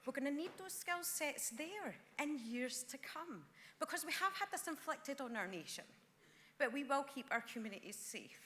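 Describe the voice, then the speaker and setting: Woman delegate, earnest floor speech with ums; bright, forceful tone; long hall reverb. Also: sits high, expressive, moderate pace, a little hiss or hum. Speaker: councillor speaking at a party conference. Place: large conference hall.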